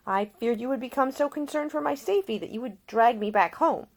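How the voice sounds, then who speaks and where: A woman's voice: fairly high pitch, brisk, pitched above the performer's own voice. A woman voicing an independent, caring woman in love, soothing yet firm, in audiobook character dialogue. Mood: explanatory.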